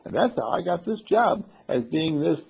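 Casting: man voicing an avuncular storybook organist, hearty and chatty, lively pitch, rough husky tone. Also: normal speed, highs cut off, exaggerated voice. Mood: warm reminiscing. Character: friendly professional church-bell organist, chatty and avuncular.

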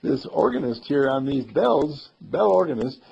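Casting: man voicing an avuncular storybook organist, hearty and chatty, lively pitch, rough husky tone. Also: measured pace, muffled recording, heavily acted. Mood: warm reminiscing. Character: friendly professional church-bell organist, chatty and avuncular.

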